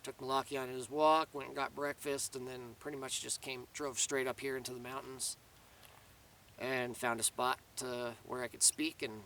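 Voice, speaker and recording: Man with a bright voice, rambling outdoors. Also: medium pitch, level tone, normal speed, distant mic, a little hiss or hum, low-quality recording. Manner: rambling, many restarts and fillers. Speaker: vlogger. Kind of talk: casual spoken update to camera. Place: outdoors in the mountains.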